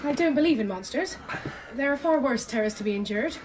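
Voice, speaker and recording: A woman's voice: high voice, expressive, a little fast, noisy background. A woman playing a practical, caring young cottage woman with a sceptical streak in an audio drama.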